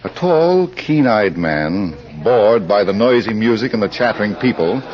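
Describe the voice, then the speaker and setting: An actor playing a suave, eerie crime club librarian in deliberate, theatrical old radio style. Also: male voice, on the low side, lively intonation, a crowd in the background. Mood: scene-setting. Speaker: host and narrator of mystery anthology. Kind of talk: 1940s radio acting, dramatic narration. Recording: muffled, noisy old radio recording.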